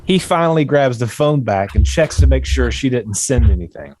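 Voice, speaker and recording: A man's voice: medium pitch, lively intonation, moderate pace, darkish tone, a little hiss or hum. A man reading stage directions as scripted narration for a screenplay table read.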